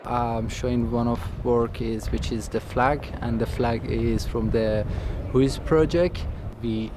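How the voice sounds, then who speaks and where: A man who learned as an explains his artwork haltingly, in a mid-range voice. Clear outdoor noise surrounds him. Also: mid-range voice, steady tone.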